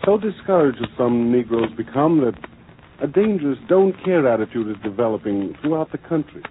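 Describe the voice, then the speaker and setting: A man's voice: mid-range voice, very animated, a little fast, lightly acted. A man narrating a 1940s radio documentary drama in a grave, oratorical manner. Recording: noisy, tinny old radio broadcast.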